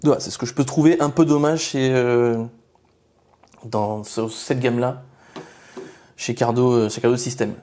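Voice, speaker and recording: Mellow-voiced man explaining gear, halting delivery. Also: mid-range voice, steady tone. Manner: halting, explanatory, often rephrasing mid-sentence. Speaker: motorcycle gear reviewer. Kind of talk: informal product review talk.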